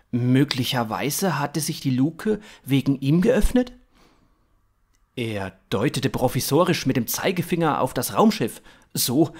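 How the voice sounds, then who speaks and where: A man in his thirties narrating scripted fiction in a dramatic, expressive way. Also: medium pitch.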